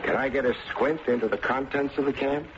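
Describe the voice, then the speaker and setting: An actor playing a wry, wisecracking American private detective and narrator in an old-time radio drama. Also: male voice, mid-range voice, fairly quick, lightly acted. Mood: curious. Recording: muffled, noisy old radio recording.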